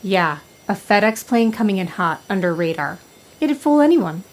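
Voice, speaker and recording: A woman playing a gruff, sardonic veteran pilot in a comedic audio drama, curt and sarcastic. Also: mid-range voice, big pitch swings, moderate pace, close to an everyday voice.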